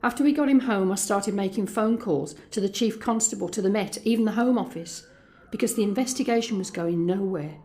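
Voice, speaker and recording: Woman playing a stony, hushed, bitter mother. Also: mid-range voice, fairly quick, hardly any pauses, natural voice. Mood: grim, controlled. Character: Alex's mother, stony, traumatised, vengeful middle-aged woman. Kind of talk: recounted dialogue in audio drama.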